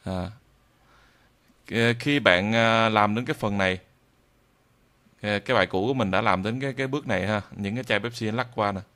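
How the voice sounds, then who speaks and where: A man narrating an informal software tutorial in a halting way, with frequent drawn-out hesitations. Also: lowish voice. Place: indoors at a computer, screen recording voice-over.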